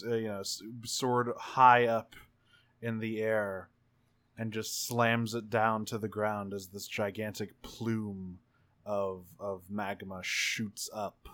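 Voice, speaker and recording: A man narrating improvised action in a tabletop roleplaying game, halting as he thinks aloud, with dramatic flourishes. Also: lowish voice, a bit bright. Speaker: tabletop game player narrating his character.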